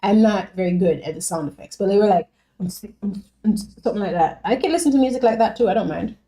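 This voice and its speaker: A woman's voice: medium pitch, fast, warm tone. A young woman from Jamaica chatting casually on a live stream, reading viewers' comments and answering them by name with lots of fillers.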